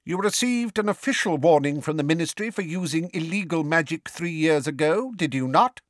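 A man's voice: on the high side, lively intonation, moderate pace, dry recording, studio quality, voice pushed up, natural voice. A man voicing a pompous, testy middle-aged government minister in an officious, declamatory manner for a storybook. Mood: officious, accusing.

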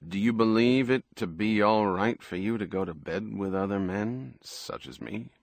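A man voicing a world-weary, cautious man who is blunt yet protective, in measured, quietly firm audiobook dialogue. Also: lowish voice, gravelly, dry recording, clean studio sound.

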